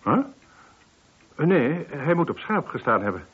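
A man's voice: fairly high pitch, sing-song, unhurried, husky, exaggerated voice. An actor playing a weary, defensive man nearing sixty in a Dutch radio play, explaining himself hesitantly. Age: late 50s. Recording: muffled, band-limited old radio recording.

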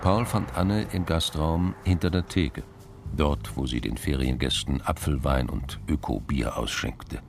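A man narrating a crime radio drama in a gravelly, very low voice, as an unhurried storyteller. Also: background noise, exaggerated voice. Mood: measured.

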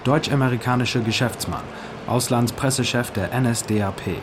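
A man's voice: mid-range voice, fairly quick, background noise. A man reading scripted documentary narration.

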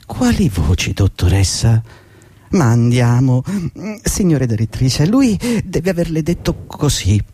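A man's voice: medium pitch, very animated, unhurried, mellow, breathy edge. An actor in a radio play playing an old, loyal employee, anxious and needling, stammering and repeating himself as he questions his boss. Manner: stammering repetitions, prompting and questioning.

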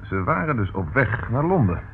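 An actor playing a dogged, sardonic police inspector in a radio play, with a wry, probing manner. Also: male voice, medium pitch, very animated, moderate pace. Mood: pensive. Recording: tinny, noisy old radio recording.

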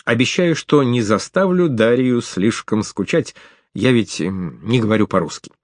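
A man's voice: medium pitch, expressive, normal speed, no echo, clean studio sound, close to an everyday voice. A man playing a gallant courteous host. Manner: polite, smooth, smiling.

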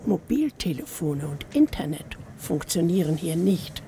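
Anxious fellow traveller, gravelly fry, ominous, fretful, radio play, noisy train ambience. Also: female voice, on the low side, sing-song, measured pace, dark tone. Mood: ominous, uneasy. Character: anxious, gloomy fellow train passenger who warns of dangers. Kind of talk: German radio play dialogue.

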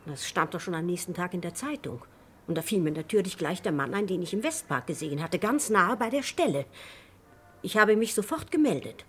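An actress playing an earnest, uneasy witness in a German radio crime drama, hesitant yet sure of herself. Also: female voice, lowish voice, lively intonation, brisk, slightly rough. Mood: earnest, defensive. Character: earnest, uneasy woman witness, a policeman's wife. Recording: faintly noisy vintage radio drama recording.

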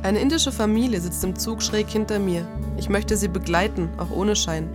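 An actress voicing a lost, lonely narrator's inner monologue in a scripted radio drama. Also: female voice, mid-range voice, normal speed, lightly acted. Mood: lost, wistful. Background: plucked guitar music.

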